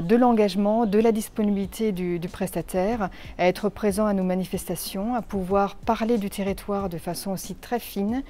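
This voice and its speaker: A woman's voice: mid-range voice, mellow. A woman giving explanatory interview answers as a tourist office representative, searching for her words as she goes.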